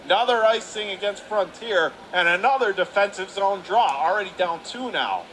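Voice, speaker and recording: Male sports announcer, bright forceful tone, quick-fire clipped hockey calls over faint arena noise. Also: high-pitched. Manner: clipped running phrases, excited calls. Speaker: radio play-by-play announcer. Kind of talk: live hockey play-by-play commentary. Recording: noisy live rink recording.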